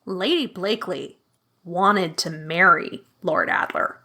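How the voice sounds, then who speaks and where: A woman playing a solo tabletop game as guest, expressive and playful, laughing often. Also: fairly low pitch, takes it slowly. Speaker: player, guest. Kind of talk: casual play and improvised storytelling. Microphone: remote call with headphones.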